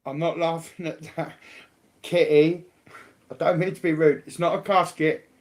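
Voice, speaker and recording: Male, slightly high-pitched voice, blunt and combative, casual sweary delivery. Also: fairly even intonation, moderate pace. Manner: blunt, combative, repetitive slangy talk. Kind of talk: casual, heavily sweary chat.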